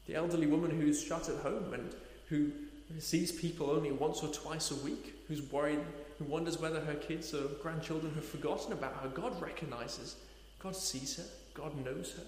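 A man preaching a sermon, earnest, asking rhetorical questions and slowing down to stress key points. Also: a little high, fairly even intonation, darkish tone. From Nepal. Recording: echoey hall reverb.

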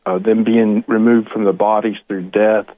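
Male caller on a phone line, mid-pitched, halting and rambling, very tinny and dry sound. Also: little pitch movement, no room sound. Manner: hesitant, rambling, many fillers. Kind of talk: call-in question on the phone. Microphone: telephone.

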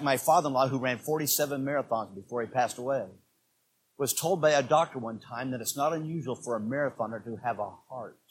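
A man in his sixties preaching a sermon as pastor, swinging between quiet asides and loud, emphatic preaching. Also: fairly high pitch, breathy edge, low-quality recording. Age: 60s.

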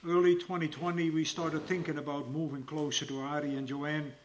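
Older man of about seventy with a fairly high voice, reading his testimony in church, steady and plain delivery, faint hiss underneath. He speaks to a congregation before his baptism. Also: brisk. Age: around 70.

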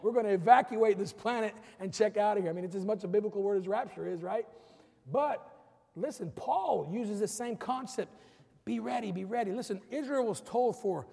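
A man's voice: sits high, a little fast, gravelly, a little mellow, slightly rough, in a large hall. A middle-aged man preaching a sermon, urging his congregation with rising emphasis and calling the music team up. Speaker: pastor. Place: church sanctuary.

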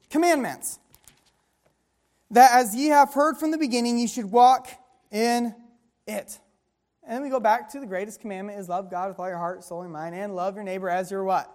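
High-voiced woman preaching a sermon. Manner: call-and-response questions, reading scripture slowly.

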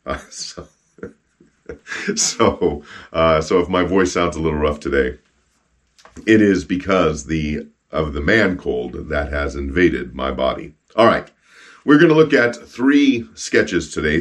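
A man with a rough, froggy voice from a cold hosts a podcast, chatting casually with joking asides and reading stories aloud. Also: low voice. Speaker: podcast host and street evangelist.

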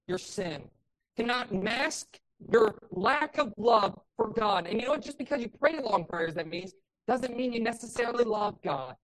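A woman pastor preaching a sermon with a loud voice, building passionately to emphatic peaks. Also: medium pitch, low-quality recording.

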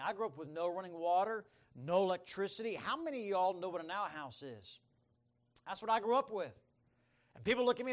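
A middle-aged man, preaching and telling his testimony in a folksy storytelling manner, swinging between loud emphasis and quiet asides. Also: sits high. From Bahamas, raised by American missionary parents. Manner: folksy, storytelling, calls for amens. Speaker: missionary preacher. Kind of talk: sermon, personal testimony.

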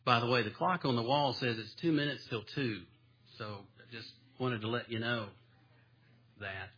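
Male pastor, mid-pitched, breathy husky tone, homespun sermon delivery, dull lo-fi sound. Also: moderate pace. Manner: folksy, self-deprecating preacher with homespun stories. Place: church sanctuary.

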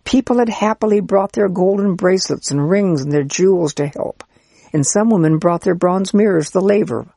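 A gentle, patient old priest , as a storybook reader puts him on, explaining things kindly and earnestly. His voice is husky with a gravelly edge. The recording is close and dry. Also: medium pitch, moderate pace, no echo, voice pushed up. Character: kind, patient old male Israelite priest. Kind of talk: storybook character reading.

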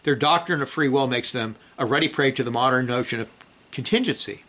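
Bible teacher, male, bright and forceful tone, reads with emphasis, loose asides with restarts. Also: medium pitch, a little fast. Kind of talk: reading a theology book aloud, then commenting and praying.

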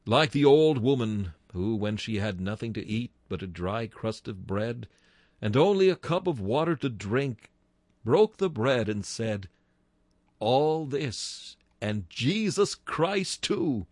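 A man preaches in a slow, measured sermon cadence. His voice swings widely, climbing on exclamations and falling to a hush. The sound is dry and close, with no room around it. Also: on the low side, big pitch swings, dry recording. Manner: slow, solemn and declamatory, swelling on exclamations and dropping to hushed tones. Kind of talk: Victorian-style sermon reading.

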